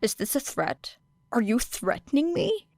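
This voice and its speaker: A woman voicing a sardonic teenage boy who teases in a deadpan way. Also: a little high, measured pace, no room sound, voice pushed up. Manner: deadpan, teasing. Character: dry sardonic teenage boy hero student. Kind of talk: audiobook character reading.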